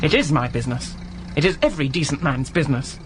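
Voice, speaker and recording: A man acting a stiff, blustering English office worker in a radio play. His voice is fairly high and lively in pitch, tense and threatening. There is clear noise in the background. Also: fast, lightly acted. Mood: indignant, threatening. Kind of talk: BBC radio drama acting.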